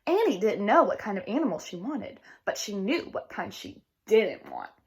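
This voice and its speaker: A woman's voice: medium pitch, big pitch swings, moderate pace, slightly rough. A woman reading a picture book aloud expressively as a teacher, speaking directly to young listeners.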